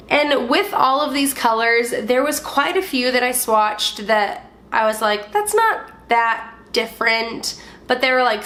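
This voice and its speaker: Young woman vlogger with a slightly high voice, stuffy from a cold, chatty and relaxed. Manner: chatty, lots of "like" fillers. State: stuffy, voice partly gone from a cold. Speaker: beauty vlogger. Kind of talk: casual product review.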